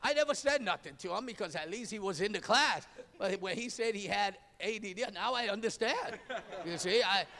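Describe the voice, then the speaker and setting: A man with a Spanish accent, an auctioneering instructor giving a lively, joking graduation speech and calling out names. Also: high voice, gravelly. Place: large hall. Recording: live hall, long reverb.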